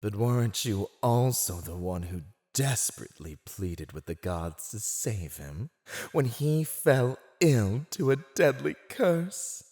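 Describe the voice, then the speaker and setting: Smug evil villain for asmr rp, slow teasing drawl, super animated, mocking and gloating. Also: male voice, mid-range voice. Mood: condescending, teasing. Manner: teasing, condescending, theatrical drawl. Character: silver-tongued male fantasy villain, gloating, mocking captor. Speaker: voice actor. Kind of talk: ASMR roleplay, scripted villain monologue.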